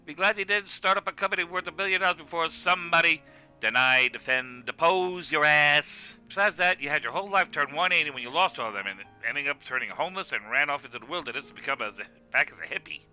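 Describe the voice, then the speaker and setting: Man playing a forceful, sarcastic cartoon wrestler. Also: on the high side, lively intonation, fast talker, clean tone, soft background music, poor audio. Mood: mocking. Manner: scolding, sarcastic, emphatic. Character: exasperated, mocking male wrestler, the self-styled straight man. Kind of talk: scripted comic argument in web animation.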